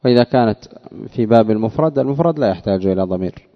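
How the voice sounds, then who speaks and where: A man's voice: lowish voice, expressive, very fast. A man teaching grammar in a lecture, explaining a text line by line in a didactic way and repeating phrases for emphasis. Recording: dull lo-fi sound.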